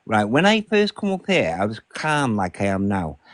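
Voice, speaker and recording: A man's voice: on the low side, very animated, normal speed, no echo, studio quality. A man from Salford with a broad Mancunian accent, debating insistently as a caller on a live panel. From Salford, Greater Manchester, England. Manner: argumentative, insistent.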